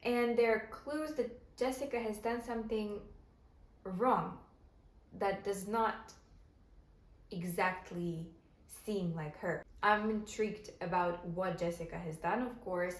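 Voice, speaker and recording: A woman's voice: mid-range voice, fairly even intonation, slow pace. A young woman whose first language is Ukrainian, chatting casually about the books she is reading, thinking aloud with restarts. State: tired. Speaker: book vlogger. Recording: roomy, far from the mic, faint background ambience.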